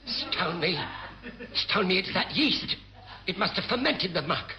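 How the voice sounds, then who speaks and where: A man's voice: sits high, expressive, a little slow, crowd noise. An actor playing a wily, panicky chief petty officer who grovels to his superiors in a broad radio sitcom style. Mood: flustered. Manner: fawning, exclamatory, rattled. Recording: very noisy old radio comedy recording.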